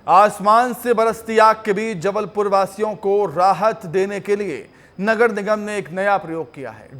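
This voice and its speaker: A man reading television news as an anchor. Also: high voice. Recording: music bed under the voice. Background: news music.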